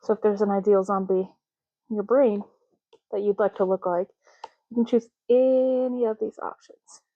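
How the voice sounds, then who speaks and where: A woman speaking softly in an ASMR roleplay, hushed, unhurried and gently explanatory, close to the microphone. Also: medium pitch, fairly even intonation, no echo, phone-quality sound. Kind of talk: soft-spoken ASMR roleplay.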